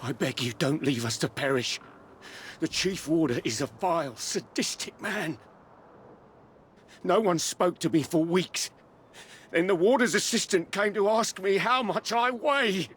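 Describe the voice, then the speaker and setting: An actor playing a frightened Victorian captive in a video game, pleading in formal, earnest speech. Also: male voice, high-pitched, unhurried, fairly bright tone, slight background noise. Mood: fearful. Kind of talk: video game character acting. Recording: music behind the voice.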